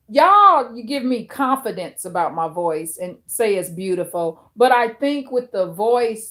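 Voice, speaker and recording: A woman hosts a casual livestream and speaks straight to her viewers. Her voice is deep and authoritative, and she takes her time, thinking out loud with plenty of fillers. The talk sounds unscripted and conversational. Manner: slow, thinking aloud with fillers and restarts; addresses viewers directly. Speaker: livestream host reading chat. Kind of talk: casual chat with live viewers.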